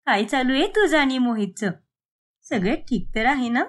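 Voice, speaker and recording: A woman playing a cheeky, whiny young adult daughter in an animated moral story, complaining and protesting. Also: high voice, normal speed.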